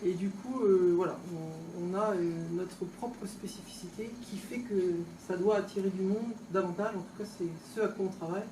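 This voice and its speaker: A woman local official gives an informal opening speech in a conversational, halting, off-the-cuff way, recorded indoors from a distance. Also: mid-range voice. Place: indoors, tourist office exhibition hall. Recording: echoey room, distant mic, background noise.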